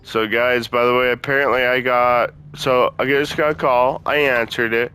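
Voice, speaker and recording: A man's voice: mid-range voice, fairly even intonation, normal speed, sharp tone, smooth. A man hosting a game playthrough with casual, sweary commentary, muttering his reactions to himself. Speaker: gaming video host.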